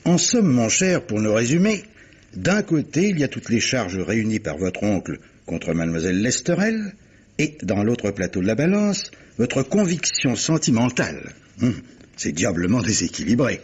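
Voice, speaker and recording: A man's voice: mid-range voice, very animated, moderate pace, a little mellow, husky, muffled recording. A man playing a worldly, jovial former army captain turned amateur sleuth, theatrical and wry, in an old-style radio mystery. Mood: wry, amused. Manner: theatrical, leisurely, wry, chuckling.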